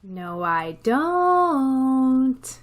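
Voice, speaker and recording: A wry, wisecracking schoolteacher played by a woman in 1950s radio comedy style. Her voice sits fairly high and moves around a lot, delivering dry, sardonic lines. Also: sing-song, drawn-out words, exaggerated voice. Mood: wry, disapproving.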